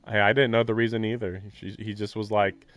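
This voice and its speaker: A man's voice: on the low side, flat delivery, brisk, fairly bright tone, dry recording, muffled recording. A man streaming a visual novel, reading its text aloud and reacting in a rambling, casual way, with swearing and short laughs. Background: game music.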